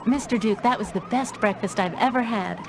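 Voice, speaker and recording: A woman's voice: on the high side, brisk, background noise, natural voice. A woman playing a polite, composed young researcher in a video game cutscene.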